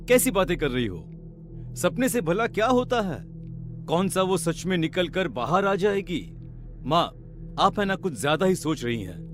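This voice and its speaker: A man voicing a grown son and young family's father in a cartoon drama, speaking dramatically. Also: on the high side. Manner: dramatic, brushing worries aside. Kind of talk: Hindi cartoon horror story acting.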